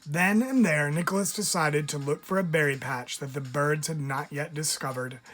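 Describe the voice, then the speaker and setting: A man, a teacher, reading a picture book aloud in an expressive storytelling style, stressing key words. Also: a little high, normal speed. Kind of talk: expressive read-aloud narration for children. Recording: music under the voice. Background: music.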